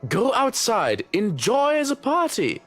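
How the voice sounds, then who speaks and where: A man's voice: very high-pitched, huge pitch swings, slow, fairly bright tone, soft background music, heavily acted. A man playing a stern, suspicious butler in a game, with a German accent and an imperious, formal, scolding manner. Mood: stern, sardonic. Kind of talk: visual novel game voice acting.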